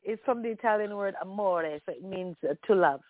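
A woman's voice: mid-range voice, fairly quick. Bubbly woman singer on a tinny phone line. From Jamaica. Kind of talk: casual radio interview by phone. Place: home in Toronto. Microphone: phone call.

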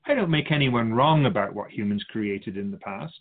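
A man's voice: fairly low pitch, expressive, normal speed, narrow, tinny audio. A man playing a swooping, musing otherworldly soul keeper. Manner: theatrical, musing, rhetorical questions. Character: otherworldly keeper of souls, wise, musing, teasing mentor. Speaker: spirit guide in a radio drama. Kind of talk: radio drama dialogue.

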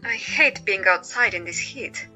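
A woman's voice: medium pitch, animated, measured pace, pushed and bright, soft background music. An actress playing a joyous, caring woman doctor in a period audio drama, cheerful and coaxing. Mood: friendly, reassuring.

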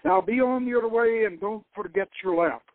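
A man's voice: high-pitched, moderate pace, no echo, pitched above the performer's own voice, close to an everyday voice. A man reading the part of a cold, cynical intelligence director in an adventure novel, over a thin phone-like line. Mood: dismissive, commanding. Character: cold, cynical male intelligence director who despises America. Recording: thin phone-like radio sound.

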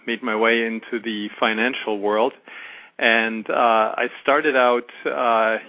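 A man with a German accent, a guest expert on international payments, speaking in a measured way with many fillers. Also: mid-range voice, no echo. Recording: phone-like line.

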